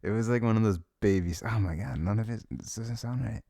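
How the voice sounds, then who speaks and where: A man with a husky, creaky voice rambling informally and haltingly to one listener in an intimate ASMR chat, close to the mic. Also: lowish voice, warm tone, no echo. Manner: sniffles habitually. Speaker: ASMR audio performer.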